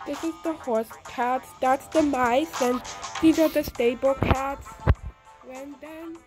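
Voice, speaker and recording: A young girl casually narrating a tour of her game world, naming each character one by one with halting restarts, recorded as a voice-over. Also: sits high, fairly even intonation. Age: under 10. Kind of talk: casual show-and-tell narration. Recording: music playing behind.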